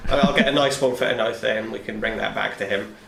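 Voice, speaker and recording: A man's voice: on the low side, steady tone, a little fast, creaky, a bit bright, slight background noise. Male player, casual in-game banter, recorded with roomy echo.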